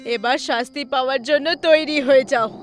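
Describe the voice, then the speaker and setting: A woman's voice: high voice, over loud music, lightly acted. A woman voicing a vengeful, cackling witch who mocks and menaces villagers in a horror cartoon. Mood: gloating, menacing. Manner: slow gloating menace with cackling. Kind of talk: dramatic horror cartoon voice acting.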